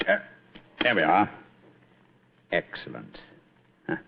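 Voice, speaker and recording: A tinny old radio recording carries a shrewd British male detective. He is assured and theatrical, with a very lively, swooping delivery and crisp authority, in vintage radio drama style. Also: sits low, slow pace, slight background noise, heavily acted. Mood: brisk, investigative.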